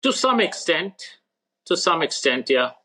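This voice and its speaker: A man answering as a podcast guest, measured and blunt, making short firm statements. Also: fairly high pitch, big pitch swings, sharp tone, breathy edge. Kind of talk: interview answers.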